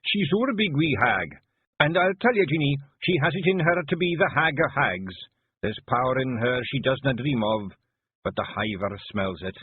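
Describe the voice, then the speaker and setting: A man voicing a small, brawling, brooding fairy clan chief with a broad Scottish accent and a gruff, blustering delivery. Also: medium pitch, expressive, moderate pace, pitched above the performer's own voice. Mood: earnest. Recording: phone-like narrow band.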